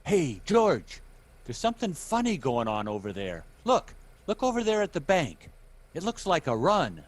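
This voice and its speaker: An actor playing a folksy, nosy small-town taxi driver in a 1940s-style radio drama. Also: male voice, on the high side, huge pitch swings, normal speed. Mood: concerned. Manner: folksy, colloquial.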